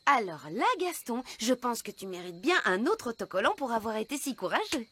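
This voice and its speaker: A lilting, high-voiced cartoon vet. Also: female voice, fairly quick, husky, full character voice. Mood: cheerful. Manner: soothing, sing-song, expressive. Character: kindly, reassuring female veterinarian in a fairy world. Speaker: veterinarian. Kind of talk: animated cartoon dub.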